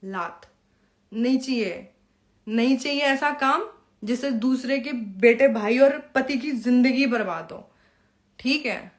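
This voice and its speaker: A high-pitched woman speaks in a confrontational, scolding rant aimed directly at someone. She taunts with sharp rhetorical questions and lectures as she goes. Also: takes it slowly. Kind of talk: angry direct-address rant to an opponent.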